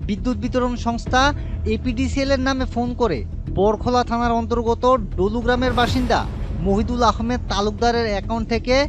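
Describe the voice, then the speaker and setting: A man's voice: extremely high pitch, fairly even intonation, brisk. A man reading a scripted news report as a reporter. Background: music bed.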